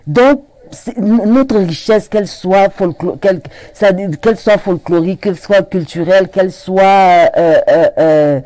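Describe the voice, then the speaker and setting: A woman with a Cameroonian French accent, a regional councillor interviewed as a guest on a TV studio set, speaking in halting phrases full of repetitions. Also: mid-range voice, dark tone, dry recording. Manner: halting, many repetitions and restarts.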